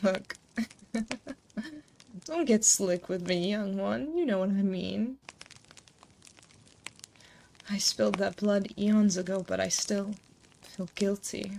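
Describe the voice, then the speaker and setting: Woman as a slow-spoken, tender ancient succubus queen. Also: medium pitch, creaky. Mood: reflective, regretful. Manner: soothing, hushed, intimate, unhurried delivery. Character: ancient succubus demon queen, tender, motherly, gently teasing. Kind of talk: comforting ASMR roleplay. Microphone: close binaural 3Dio microphone. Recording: close binaural mic, noticeable background noise.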